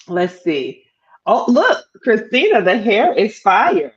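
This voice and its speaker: A middle-aged woman co-hosting a live talk stream, animated and playful, laughing often. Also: medium pitch, takes it slowly, lo-fi sound. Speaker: co-host. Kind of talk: lively live-stream chat.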